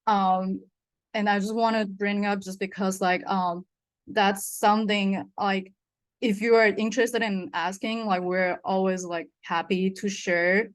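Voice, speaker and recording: A woman's voice: mid-range voice, steady tone, unhurried, no room sound. Woman panelist. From Taiwan. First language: Mandarin. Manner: thoughtful, hedging with fillers. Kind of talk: personal account on a webinar.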